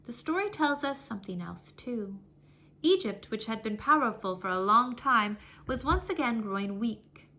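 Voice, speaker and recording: A woman reading a children's history story aloud in an expressive, deliberate, scripted storytelling manner. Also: a little high. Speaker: storybook reader.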